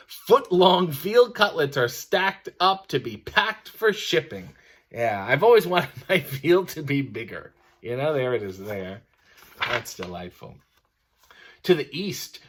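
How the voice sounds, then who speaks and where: A man's voice: on the high side, pushed and bright. A man reading a bedtime story aloud, breaking off into sardonic, mock-disgusted comic asides. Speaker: storyteller reading a picture book with commentary.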